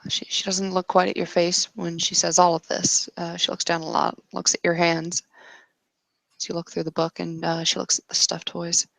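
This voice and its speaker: A woman improvising narration as a player in a tabletop roleplaying game, hesitant and halting. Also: fairly low pitch, completely flat delivery, creaky, a bit bright, no room sound, poor audio.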